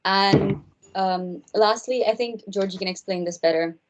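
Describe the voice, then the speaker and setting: A woman's voice: mid-range voice, a little slow, rough recording. A young woman from India, a third-year student, presenting in a friendly, earnest way with some hesitant fillers. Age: young adult. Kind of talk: informal presentation.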